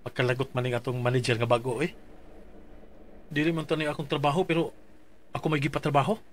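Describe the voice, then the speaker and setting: A man's voice: mid-range voice, flat delivery, fast, a little hiss or hum, close to an everyday voice. An actor with a Cebuano accent playing a polite, patient married office worker in his forties in a radio drama. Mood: weary. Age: 40s. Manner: polite and deferential. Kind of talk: radio drama narration and acting.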